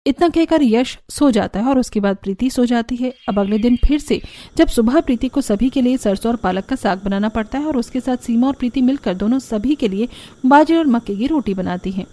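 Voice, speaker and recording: A woman's voice: on the high side, expressive, fairly quick, warm tone. A woman narrating a scripted cartoon family story.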